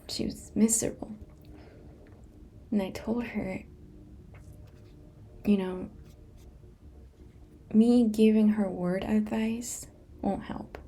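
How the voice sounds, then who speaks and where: A woman who whispers almost every word, unhurried and soft. Her tone is mellow and dark. A faint hiss of room ambience sits under her voice. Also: mid-range voice. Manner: soft unvoiced whisper throughout, slow and gentle. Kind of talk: whispered meditation talk.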